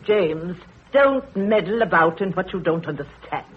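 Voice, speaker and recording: A woman's voice: lowish voice, expressive, a little slow, breathy edge. An actress playing a chatty, nosy, self-assured middle-aged village woman in 1930s radio drama style, fluttery and emphatic. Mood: eager, self-important. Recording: tinny, muffled 1930s radio broadcast.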